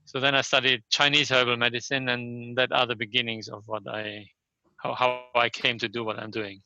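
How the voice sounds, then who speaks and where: Older German man, very bright sharp voice. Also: medium pitch, little pitch movement, dry recording, poor audio. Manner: halting, restarts sentences, often adds a questioning "yes?". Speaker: interview guest, Chinese medicine practitioner and scholar. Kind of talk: reflective conversational interview answers.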